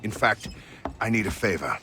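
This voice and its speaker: An actor playing an ill-tempered, stern sailing ship captain in a video game, gruff, blunt and commanding. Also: male voice, on the low side, lively intonation, normal speed, music in the background, close to an everyday voice. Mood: stern, grudging. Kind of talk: video game cutscene acting.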